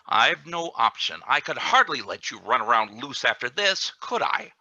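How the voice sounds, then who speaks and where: A man plays a stern, officious security chief in a radio play. His voice is very bright and forceful, with lively swings of pitch. He insinuates and accuses like an interrogator. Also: normal speed, dull audio. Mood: accusing. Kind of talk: radio play script reading.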